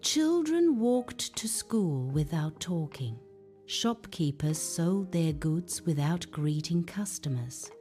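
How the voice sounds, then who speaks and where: A woman's voice: low voice, dark tone. A woman narrating a scripted moral story for children as a storyteller.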